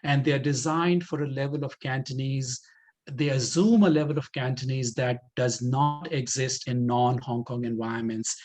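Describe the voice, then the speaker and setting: A man presenting a community project in an informal question-and-answer talk, deliberately repeating phrases for emphasis. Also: medium pitch, darkish tone.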